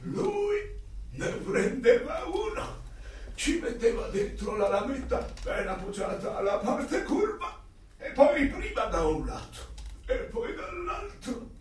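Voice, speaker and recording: High-pitched rambling old grandfather. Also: wildly swooping pitch, slow pace, exaggerated voice. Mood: nostalgic rambling. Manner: chatty, rambling, confused. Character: rambling, grumbling old grandfather reminiscing about the past. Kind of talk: radio drama acting. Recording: echoey, distant, faint noise.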